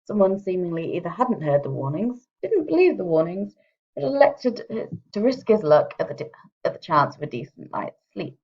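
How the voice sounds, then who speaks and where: A woman author speaks in a slightly low voice with strong vocal fry. Her delivery is wry and a touch theatrical, suited to presenting her own book. The recording is a somewhat dull, muffled home one. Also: sing-song, moderate pace, darkish tone. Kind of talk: chatty introduction, then expressive audiobook-style reading.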